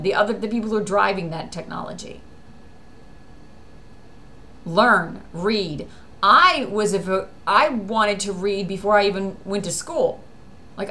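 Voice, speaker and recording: A woman with an American accent speaks off the cuff at a medium pitch. Her voice is bright and sharp. She rambles in an aggrieved, insistent way, as in a personal video. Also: a little hiss or hum. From US East Coast. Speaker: actor recording a personal video. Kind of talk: unscripted personal monologue.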